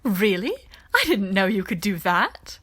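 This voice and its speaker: A woman voicing a playful, refined alien princess in an audiobook, speaking in an animated manner. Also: mid-range voice, measured pace, slightly rough, exaggerated voice. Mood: amused.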